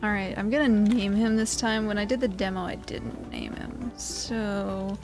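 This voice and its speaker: A woman's voice: medium pitch, fairly even intonation, a little slow, darkish tone, soft background music. A woman hosting a gaming video, giving casual let's play commentary with playful, dry joking asides.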